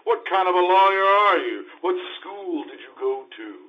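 Man playing a blustery high-pitched befuddled judge. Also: animated. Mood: flustered, blustery. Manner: blustery, drawn-out, exasperated delivery. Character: cranky, befuddled, easily flustered old courtroom judge. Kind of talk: stage radio-play comedy acting. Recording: dull live stage audio, faint noise.

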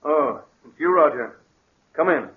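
A man's voice: on the high side, expressive, measured pace, background noise. A man acting a guilt-haunted, suspicious and insistent man in a 1940s radio drama. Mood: weary, haunted. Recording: muffled vintage 1940s radio recording.

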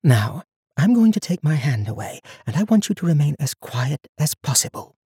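A man voicing a composed, wary female traveler in an audiobook, hushed, firm and controlled. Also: on the high side, sing-song, brisk, breathy edge, no echo, clean studio sound, pitched above the performer's own voice, exaggerated voice. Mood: hushed stern. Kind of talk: audiobook character acting.

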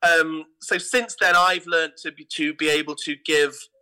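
Bright, sharp-voiced theatrical man telling stories. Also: on the high side, fairly even intonation, measured pace, clear, resonant tone, poor audio. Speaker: guest, theatre producer and pantomime dame. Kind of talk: conversational interview anecdotes.

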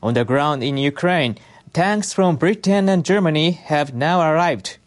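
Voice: medium pitch, animated, a little slow. A news reader delivering scripted international news narration.

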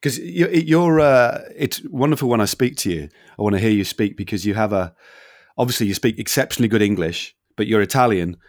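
A man's voice: lowish voice, a little fast, no echo, studio quality. A man hosting an interview, asking long, rambling questions full of fillers. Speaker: interviewer, podcast host. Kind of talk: casual interview.

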